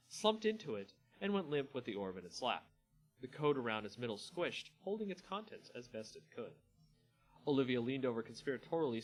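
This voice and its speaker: A male host narrates fantasy fiction in a mid-range voice with very animated intonation. The delivery is theatrical and expressive, and music plays softly behind him. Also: medium pitch, rough recording. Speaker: channel host and story narrator. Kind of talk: dramatic story narration.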